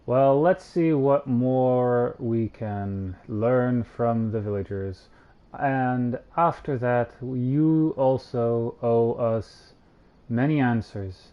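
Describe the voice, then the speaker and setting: A man streaming a game, reading dialogue aloud with casual asides in a low-key, halting way. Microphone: home streaming microphone. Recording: dull, lo-fi streamer microphone.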